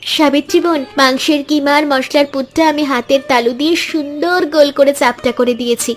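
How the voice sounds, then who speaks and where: A woman's voice: sits high, steady tone, a little fast, faint music behind, lightly acted. A woman voicing an eager, hardworking village woman and loyal friend in a Bengali cartoon story, speaking earnestly. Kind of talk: Bengali animated moral story dialogue.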